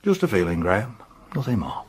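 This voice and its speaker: An actor playing a dry, dogged police detective, understated in a radio drama. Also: male voice, on the low side, very animated, fairly quick. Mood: dry, thoughtful. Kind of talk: naturalistic radio drama acting.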